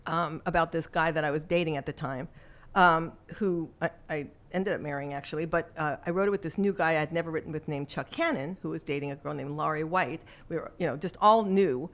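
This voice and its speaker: A fairly low-pitched, middle-aged woman talking in relaxed interview chat. She tells her stories loosely, with small restarts along the way. She breaks into easy laughter often. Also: fairly quick. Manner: chatty storyteller, laughs often, frequent restarts. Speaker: songwriter guest.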